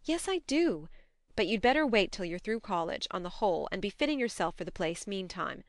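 A woman's voice: medium pitch, lively intonation, fairly quick, no room sound, studio quality, lightly acted. Woman voicing a forthright, affectionate elder sister. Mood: brisk, bossy. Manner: admonishing, forthright. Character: brisk, bossy, affectionate young woman, tomboyish elder sister. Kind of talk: audiobook character dialogue.

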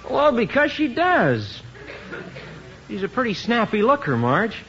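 An actor playing a defensive, easily exasperated young husband in 1950s radio comedy style. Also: male voice, extremely high pitch, huge pitch swings, unhurried, fairly bright tone, full character voice. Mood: breezy, evasive. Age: young adult. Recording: muffled, noisy 1950s radio recording.

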